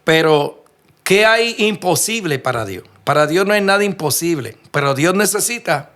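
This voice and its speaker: A man's voice: a little high, animated, normal speed, a bit bright. A man with a Puerto Rican accent preaching a sermon, telling stories earnestly and asking rhetorical questions. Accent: Puerto Rican Spanish. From Puerto Rico. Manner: earnest storytelling, frequent restarts and rhetorical questions.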